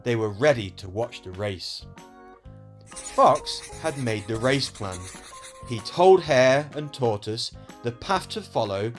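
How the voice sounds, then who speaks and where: A man reading a children's story aloud as host and storyteller, in an expressive, explanatory way. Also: mid-range voice, slow, faint music behind.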